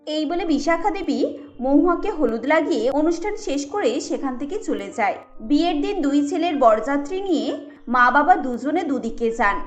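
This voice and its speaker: Female cartoon narrator, high-pitched, scripted storytelling over light music. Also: lively intonation, moderate pace, muffled recording.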